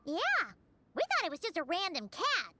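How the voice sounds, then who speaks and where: A woman voicing a small, chatty, curious childlike companion in a video game, squeaky and perky. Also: huge pitch swings, moderate pace, very noisy, full character voice. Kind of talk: video game character acting.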